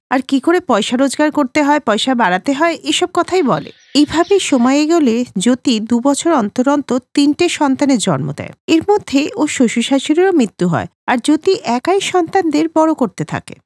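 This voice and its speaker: High-voiced woman narrating a cartoon story. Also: lively intonation, normal speed, few pauses, no echo, clean studio sound.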